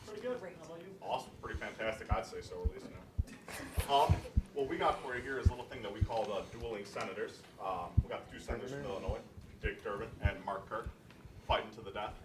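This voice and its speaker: A man's voice: lowish voice, animated, fast talker. A young man presenting at a student readers theater event in a roomy space. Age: young adult. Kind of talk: live readers theater introduction and reading. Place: college library event room. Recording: roomy, distant mic, loud noise.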